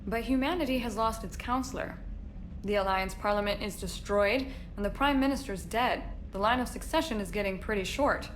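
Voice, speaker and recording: A woman acting a bold war reporter, medium pitch. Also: brisk, a little hiss or hum, close to an everyday voice. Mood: professional, probing. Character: bold, probing female war correspondent. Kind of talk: video game dialogue acting.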